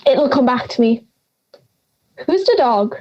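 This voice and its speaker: A woman's voice: sits high, animated, takes it slowly, a little mellow, muffled recording, full character voice. A young woman playing a cranky, forgetful old granny in an audio drama, brusque, sarcastic and theatrical. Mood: mocking. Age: young adult.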